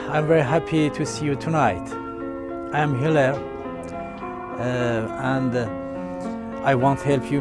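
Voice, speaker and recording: A man from Iran with a Persian accent, a native Persian speaker, speaking slowly and earnestly in simple, broken English as a guest healer addressing viewers. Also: on the high side. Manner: slow, earnest, simple phrasing.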